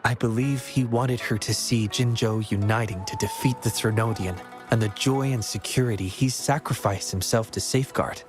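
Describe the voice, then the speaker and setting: Man as considerate young man, earnest, reflective, courteous, medium pitch, video game dialogue, music underneath. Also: natural voice. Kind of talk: scripted video game voice acting. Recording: music playing behind the voice.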